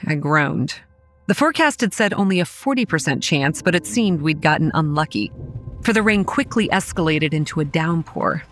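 A woman's voice: sits low, slight background noise, natural voice. A young woman acting a wry, weary campground manager narrating a horror audio drama in a dry, exasperated way. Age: young adult. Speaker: narrator and lead character.

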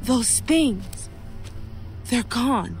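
An actress playing a solemn woman caught up in an audio horror drama, speaking in a measured, serious way. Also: a little high, animated, heavily acted. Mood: uneasy. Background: loud dramatic music.

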